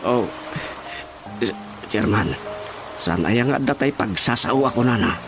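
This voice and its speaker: Sheepish village councilman, Ilocano accent, stammering excuse-maker for radio comedy, swinging intonation over loud music. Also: mid-range voice, lively intonation, slow pace, gravelly. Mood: pleading, insistent. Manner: hesitant, stammering. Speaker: radio drama actor.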